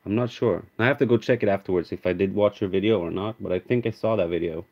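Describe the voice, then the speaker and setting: A man's voice: sits low, fast. Swedish man chatting on a lo-fi stream. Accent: Swedish, American-tinged. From Sweden. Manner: chatty, reads out viewer names, names cards as he pulls them. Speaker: card-opening streamer. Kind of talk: casual live-stream chat. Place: indoor room with card display.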